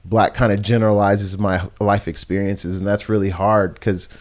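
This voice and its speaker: A young man from the United States, speaking with a fairly low voice as a podcast guest. He muses in a relaxed, reflective way, circling back and restarting his sentences as he works through an idea. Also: moderate pace. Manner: reflective, rambling with many fillers and restarts. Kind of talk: casual conversation.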